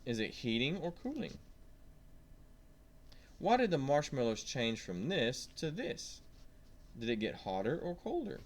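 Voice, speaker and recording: A man's voice: medium pitch, huge pitch swings, a little slow, long pauses. A man reading a science lesson aloud to young children, stressing key words and asking simple questions as he goes. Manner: simple questions and prompts to the listener.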